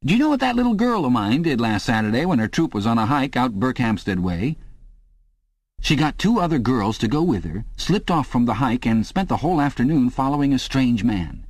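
A man's voice: medium pitch, sing-song, a little fast, no echo, voice pushed up, rough recording. Man doing a hearty keen middle-aged bloke, chummy, blustering, raised voice, audiobook reading.

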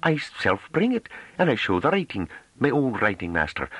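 Man doing a bashful young farm woman, high and broken. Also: very animated, normal speed, a bit bright, breathy edge, no room sound. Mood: earnest. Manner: broken dialect speech, earnest and pleading.

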